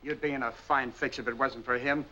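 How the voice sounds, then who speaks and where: A man's voice: mid-range voice, steady tone, fairly quick, pushed and bright, close to an everyday voice. An actor playing a no-nonsense lawman taking charge, blunt and commanding in 1940s film style. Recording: dull, noisy old film soundtrack.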